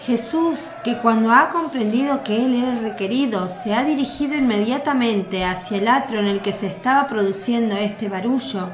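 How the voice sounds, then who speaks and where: Woman narrator for a radio drama, higher voice, echoey room with faint hiss. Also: moderate pace, hardly any pauses.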